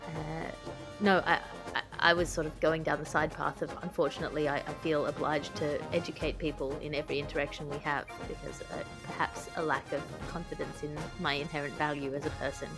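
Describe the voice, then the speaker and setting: A woman's voice: deep voice, little pitch movement, brisk, music in the background. A woman hosting a comic magazine-style podcast in a wry, wordy, mock-formal way. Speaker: podcast host.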